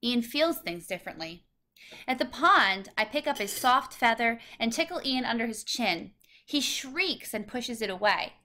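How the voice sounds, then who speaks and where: Bright-voiced woman reading a storybook. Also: fairly high pitch, animated, normal speed. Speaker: storybook reader. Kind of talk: scripted children's story narration, read aloud.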